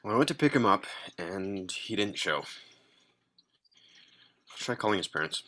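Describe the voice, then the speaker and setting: Man playing a bright-voiced, hesitant caring manager. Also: fairly low pitch, lively intonation. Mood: concerned. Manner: halting, repeats himself. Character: hesitant, caring male fast-food manager. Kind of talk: amateur table read acting.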